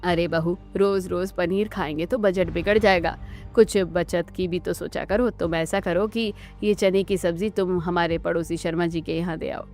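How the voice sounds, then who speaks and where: A woman's voice: lowish voice, steady tone, normal speed, darkish tone, soft background music, close to an everyday voice. A woman playing a bossy, miserly Indian mother-in-law in a family cartoon, with a scolding, instructing manner. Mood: bossy, scheming. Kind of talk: Hindi family cartoon dialogue.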